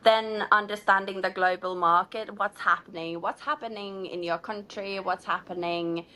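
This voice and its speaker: A woman's voice: medium pitch, fairly even intonation, normal speed, sharp tone, poor audio. A woman from Finland, a shoe designer, answering interview questions in a chatty way, full of "like" and light laughs. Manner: chatty, many "like" fillers, laughs between phrases.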